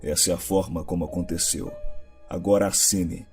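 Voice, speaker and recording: Guy acting as cold govt agent, low voice, curt and threatening, audio drama style. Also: steady tone, normal speed, darkish tone, soft background music. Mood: cold threat. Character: cold, menacing male government agent.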